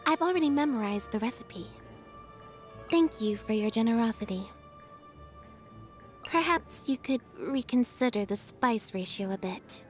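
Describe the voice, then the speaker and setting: A woman voicing a reserved, observant young magician's assistant in a video game, understated and deadpan. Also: on the high side, a little slow, pauses often. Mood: calm, matter-of-fact. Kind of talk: scripted video game character voice lines. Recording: music behind the voice. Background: music.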